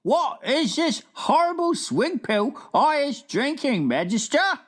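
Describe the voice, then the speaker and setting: A woman's voice: sits high, big pitch swings, voice pushed up, exaggerated voice. A woman voicing a cheerful, clumsy male giant who mangles his words, read from a storybook. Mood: eager. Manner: mangled words, drawn-out exclamations. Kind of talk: storybook reading.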